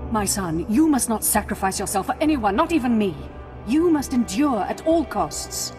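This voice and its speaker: A woman's voice: fairly high pitch, expressive, moderate pace, lightly acted. A woman playing a proud, scheming middle-aged noblewoman in a video game, imperious, formal and dramatic. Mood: urgent pleading. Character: proud, scheming Sith noblewoman and protective mother. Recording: long hall reverb, faint music.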